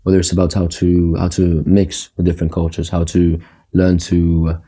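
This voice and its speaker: Young male guest, low dark husky voice, hesitant and soft-spoken, repeats himself. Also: completely flat delivery, normal speed. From Egypt, raised in Qatar. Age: young adult. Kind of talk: casual interview talk.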